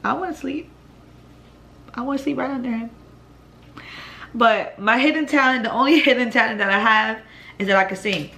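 A young woman in her twenties with a deep voice, chatting bluntly and profanely through a Q&A vlog at home. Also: moderate pace, vocal fry, long pauses, pushed and bright, slight background noise. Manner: blunt, profane, laid-back with laughs. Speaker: vlogger answering viewer questions. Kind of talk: casual Q&A vlog. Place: living room at home, indoors.

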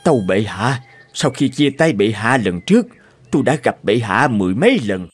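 An actor playing a poor but clever graveyard keeper in a cartoon, explaining patiently and respectfully. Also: male voice, mid-range voice, very animated, normal speed, breathy edge. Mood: calm, respectful. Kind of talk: dubbed cartoon fairy tale acting. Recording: music behind the voice.

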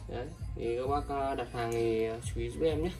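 Male seller, casual repetitive pitch, off-mic with background music. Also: fairly even intonation, normal speed, darkish tone. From Vietnam. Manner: repetitive, many fillers, addresses buyers as brothers. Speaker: fishing tackle seller. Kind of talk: casual sales livestream. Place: indoors, table of fishing reels. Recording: distant mic, music playing behind.

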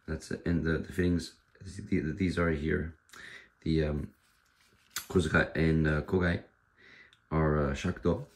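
A man with a hoarse, fading voice, casually showing off a sword with frequent pauses and restarts. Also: rumbling low. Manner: halting, with many fillers and restarts. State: hoarse, losing his voice. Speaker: sword dealer presenting a katana. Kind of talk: casual show-and-tell.

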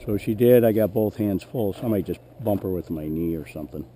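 Hoarse man narrating a field hunt. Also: lowish voice, brisk, mellow, a little hiss or hum, rough recording. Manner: plain, matter-of-fact, clears throat often. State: hoarse, losing his voice. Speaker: gun dog trainer. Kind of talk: narrating a hunt while commanding a dog. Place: open grassy field outdoors. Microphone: head-mounted action camera.

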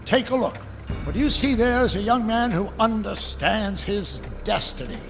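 Creaky, pompous old autocrat scientist. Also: male voice, high-pitched, expressive, normal speed, big-room sound. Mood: pompous, proud. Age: older. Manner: pompous, formal, theatrical diction. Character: elderly, stern, autocratic scientist ruling an orderly town. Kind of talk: animated video game acting. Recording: music behind the voice.

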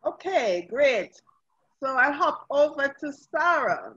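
A woman's voice: high voice, very animated, slow, bright, forceful tone. A woman hosting and moderating a panel discussion. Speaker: forum host and moderator. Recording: harsh, roomy, dull online call audio.

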